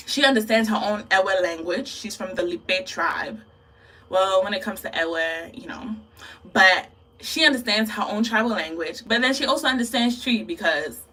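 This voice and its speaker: A young woman from Ghana talks casually to her camera, thoughtful and laughing often. Her voice is bright and forceful, and she sits a little back from the mic. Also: mid-range voice, distant mic, low-quality recording. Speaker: beauty vlogger.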